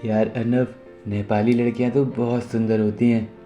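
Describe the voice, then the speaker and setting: Man doing an earnest, flustered young son, mellow. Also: mid-range voice, little pitch movement, normal speed, lightly acted. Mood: smitten, confused. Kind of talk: Hindi animated moral story acting. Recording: music behind the voice.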